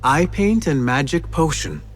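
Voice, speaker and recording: An actor playing a smooth-talking young male infiltrator in a game cutscene, courtly and measured, with a teasing, probing manner. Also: fairly high pitch, animated, soft background music. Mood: smooth, coaxing. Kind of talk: video game cutscene acting.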